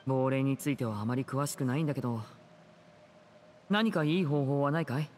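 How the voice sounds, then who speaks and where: A male actor playing a composed, protective teenage magician in an anime game, speaking smoothly and earnestly. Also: mid-range voice, a little fast, a little mellow, a little hiss or hum, close to an everyday voice. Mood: calm, resolute. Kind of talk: Japanese anime game voice acting.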